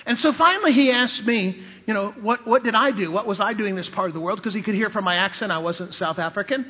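A man's voice: sits high, fast, slightly rough. A male preacher and missionary giving a sermon, telling humorous personal stories and calling out to the congregation. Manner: storytelling, calls out to the congregation. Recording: dull lo-fi recording in a reverberant hall.

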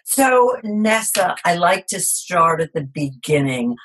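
A woman hosting an interview show, reading a measured, formal introduction and then asking questions over a remote connection. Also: on the low side, sing-song, a bit bright, husky, poor audio. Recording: remote call audio.

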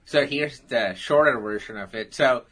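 An Indian-accented man with a bright, forceful voice tells his life story as a guest. He jokes about himself while he narrates. His pitch sits in the middle for a man. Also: normal speed, rough recording. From South India. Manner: storytelling, jokey, self-deprecating.

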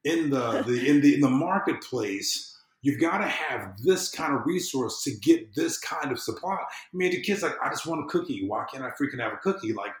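A man's voice: mid-range voice, animated, moderate pace, few pauses. A man chatting casually as a podcast guest, explaining in a rambling way and often repeating his phrases. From southern United States. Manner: rambling, repeats phrases, explains through acted-out everyday examples. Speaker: podcast guest, civic engagement consultant. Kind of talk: casual conversational interview. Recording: roomy, echoey sound.

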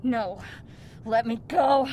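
A woman's voice: fairly high pitch, takes it slowly, darkish tone. Woman playing an abrasive young slayer, faint noise. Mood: defiant. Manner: abrasive, curt, defiant. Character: hot-tempered, foul-mouthed young female vampire slayer. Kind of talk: radio drama acting.

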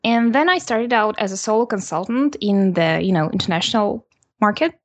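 A woman in her twenties from Russia with a Russian accent, answering interview questions in a steady, businesslike way with soft "mm" pauses. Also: mid-range voice, dry recording. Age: 20s. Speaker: guest, designer and author. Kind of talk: interview answers over a call.

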